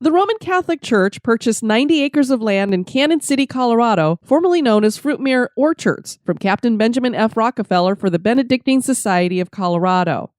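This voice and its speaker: A woman's voice: on the high side, lively intonation, fairly quick, few pauses, a little mellow, no room sound, clean studio sound, faint music behind. A middle-aged woman with a stuffy summer cold reads her scripted podcast narration as host.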